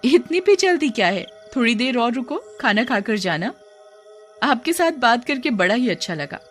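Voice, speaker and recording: Narrator as young cartoon wife, female, anxious, easily scared, high, swooping pitch, music behind. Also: sing-song, moderate pace. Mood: friendly. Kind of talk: cartoon horror story acting.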